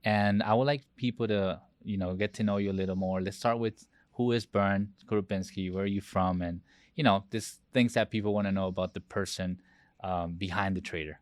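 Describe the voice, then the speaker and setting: A man's voice: sits low, fairly even intonation, a little fast, dry recording. A man hosting a podcast interview, speaking with admiration and often repeating words as he starts a thought. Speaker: podcast host.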